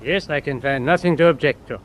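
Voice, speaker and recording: Faint ship noise sits behind a man playing a Norwegian naval officer in a radio drama. His voice is a little high, formal and measured, courteous while quietly inquisitive. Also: lightly acted. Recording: noisy audio with ship ambience behind.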